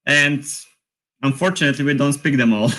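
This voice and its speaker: A man's voice: mid-range voice, a little fast, pushed and bright. A man who is a crew member guest on a casual live stream, talking easily and laughing often. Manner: easygoing, laughs often, lists things with "like".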